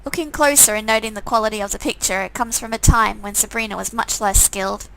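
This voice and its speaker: A woman's voice: medium pitch, steady tone, fast, vocal fry, a bit bright, a little hiss or hum, low-quality recording. A woman reading a visual novel game aloud, with sarcastic, amused asides in a casual style. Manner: sarcastic, amused commenting between read lines.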